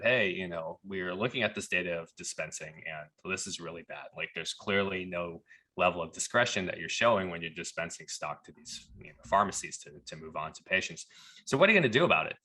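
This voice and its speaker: A man's voice: low-pitched, brisk, sharp tone. A man speaking conversationally as a podcast guest, telling personal stories and often quoting dialogue. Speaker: pharmacist turned leadership coach. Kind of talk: conversational storytelling.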